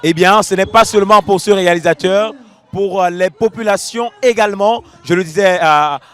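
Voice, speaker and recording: A man reporting from a festival, speaking in a measured way with frequent hesitations. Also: high voice, fairly bright tone, soft background music. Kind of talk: news report narration.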